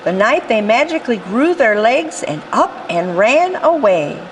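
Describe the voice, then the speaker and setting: A woman voicing a gentle old grandfather telling a folksy tale. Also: fairly high pitch, sing-song, unhurried, a little hiss or hum, poor audio.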